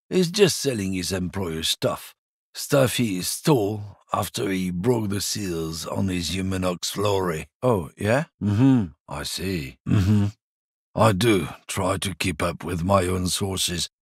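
A gruff, bigoted lorry driver. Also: male voice, lowish voice, no echo, clean studio sound, full character voice. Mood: defensive. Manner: gruff, combative, swears a lot. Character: bigoted middle-aged male lorry driver, surly and defensive. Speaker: game character. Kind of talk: video game voice lines.

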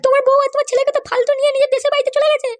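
A woman's voice: extremely high pitch, level tone, racing. A woman voicing a defensive, pleading wife in a comedy cartoon, full of excuses.

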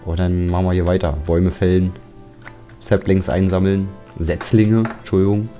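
Deep, chatty man over game music. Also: level tone, moderate pace, warm tone. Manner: chatty, joking, grumbles at mobs. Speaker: Let's Play commentator. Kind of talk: casual gameplay commentary.

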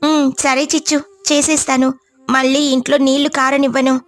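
A woman voicing a good-natured, apologetic mother sparrow in a cartoon story, gentle and conversational. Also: high voice, level tone, a little fast, faint music behind, close to an everyday voice. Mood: resolved.

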